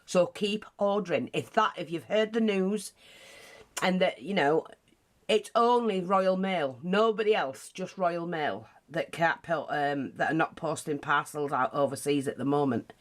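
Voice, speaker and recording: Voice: mid-range voice, unhurried. A craft teacher with a British accent, nose bunged up, chatting casually through a tutorial with frequent asides. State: blocked nose.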